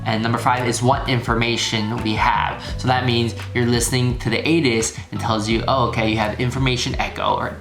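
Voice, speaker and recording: A man's voice: mid-range voice, little pitch movement, fairly quick, hardly any pauses. A man giving a casual, informal tutorial as a video instructor, repeating key phrases for emphasis. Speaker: flying instructor, video host. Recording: roomy echo, music behind. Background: background music.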